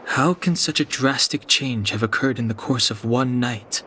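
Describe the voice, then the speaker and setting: An actor playing a calm, serious, reserved young fighter in a video game, composed and matter-of-fact. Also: male voice, mid-range voice, normal speed, lightly acted. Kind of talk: English game voice acting. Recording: clear background noise.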